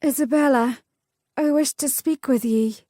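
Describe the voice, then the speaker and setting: A woman's voice: high voice, measured pace, warm tone, dry recording, clean studio sound, pitched above the performer's own voice. A woman voicing a protective, stubborn young noblewoman who speaks firmly in old-fashioned phrasing. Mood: insistent.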